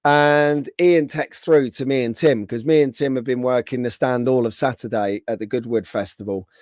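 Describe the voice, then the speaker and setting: A man hosting a casual live stream, greeting viewers by name, his voice slightly hoarse from being under the weather. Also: mid-range voice, steady tone, normal speed, a little mellow, no echo, clean studio sound.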